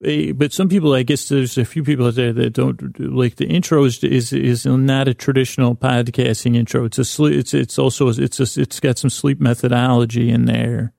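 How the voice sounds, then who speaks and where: A low-voiced man hosts a sleep podcast with a creaky, mellow delivery. He meanders through half-finished thoughts in a halting, self-deprecating way, often doubling back on himself. The sound is close and dry. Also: fairly even intonation, no room sound. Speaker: podcast host. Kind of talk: rambling bedtime podcast intro monologue.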